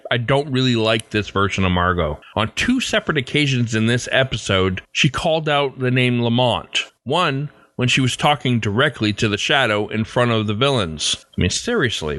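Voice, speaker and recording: A man's voice: mid-range voice, pushed and bright, dry recording. A man hosting a podcast, giving conversational, partly scripted commentary on a close mic. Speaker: podcast host.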